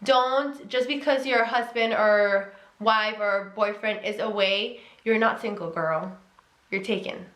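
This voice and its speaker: A woman's voice: mid-range voice, slow pace, fairly bright tone. A young woman with a Mexican accent giving relationship advice in a casual vlog, earnest and emphatic, repeating key words. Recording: echoey room.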